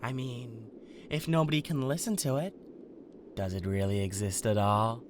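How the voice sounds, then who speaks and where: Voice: mid-range voice, moderate pace, a little hiss or hum, natural voice. A performer playing a cocky, protective male king of Hell in an ASMR roleplay, sarcastic and defiant. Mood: smug sarcasm.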